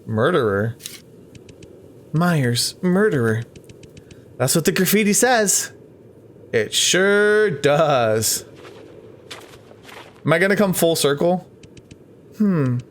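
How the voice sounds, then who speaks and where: A man with a slightly high-pitched voice provides chatty gameplay commentary. He reads game text aloud and talks to the characters as he plays. There is noise in the background of the recording. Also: big pitch swings, takes it slowly, pauses often. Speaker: let's play host.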